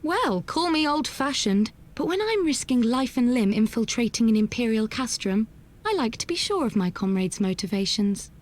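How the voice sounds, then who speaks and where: A woman's voice: on the high side, very animated, moderate pace. An actress playing a spirited young adventurer in a fantasy game, arch and wordy as she needles playfully. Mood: pointed, self-assured.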